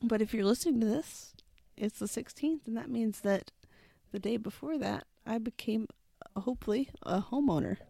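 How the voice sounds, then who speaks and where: A woman's voice: on the high side, normal speed, vocal fry, few pauses, dark tone, dry recording. A woman co-hosting a podcast in casual chat, with a slightly congested sound. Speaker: podcast co-host.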